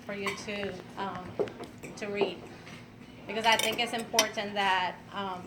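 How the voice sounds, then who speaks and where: A woman, telling her personal story at an event in an unhurried way with fillers and light laughs. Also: mid-range voice, background noise. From Venezuela. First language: Spanish. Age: 50s. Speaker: speaker at an event. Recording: clattering dishes and cutlery behind.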